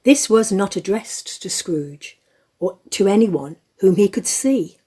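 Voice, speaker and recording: A woman's voice: medium pitch, expressive, takes it slowly, dark tone, breathy edge. A woman reading scripted narration as the narrator of an audio play.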